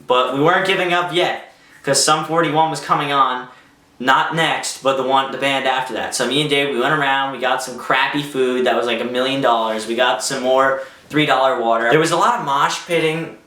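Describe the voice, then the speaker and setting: A young man tells a story vlog-style in a bright, forward voice. He is casual and excitable, acting out quotes as he goes. The sound is roomy and a little way off from the microphone. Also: medium pitch, moderate pace. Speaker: vlogger telling a personal story. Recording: roomy echo, distant microphone.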